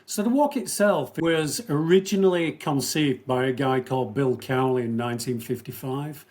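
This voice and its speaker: A man from the north of England, a former soldier and event organizer, answering interview questions in a measured, storytelling way. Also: mid-range voice, darkish tone. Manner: measured, storytelling, addresses host by name.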